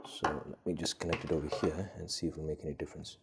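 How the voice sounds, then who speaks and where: A man's voice: extremely low pitch, little pitch movement, fast talker, vocal fry, heavy background noise. A man hosting a casual gadget demonstration, thinking aloud in a hesitant, unscripted way as he tests things. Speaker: gadget collector and video host.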